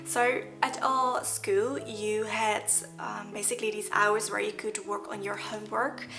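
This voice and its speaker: A young Dutch-accented woman talks casually and earnestly to her viewers, her voice sitting at an ordinary middle pitch. She slips in small laughs as she goes. Clear music plays under her the whole time. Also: level tone, a little slow. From Netherlands. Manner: chatty, earnest, with soft laughs. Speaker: vlogger. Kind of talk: casual talk to camera. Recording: background music.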